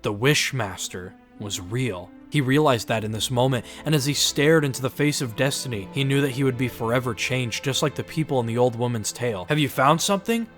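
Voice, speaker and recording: A man's voice: mid-range voice, a little fast, hardly any pauses. A man reading scripted, dramatized story narration as the narrator. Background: music.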